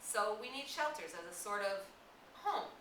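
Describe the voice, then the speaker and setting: A practical, serious boy leader in a storybook, acted out by a woman, earnest and easily exasperated. The voice is lifted in pitch and sounds distant in a roomy, hissy recording. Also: animated, normal speed, close to an everyday voice. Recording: roomy, distant mic, clear hiss.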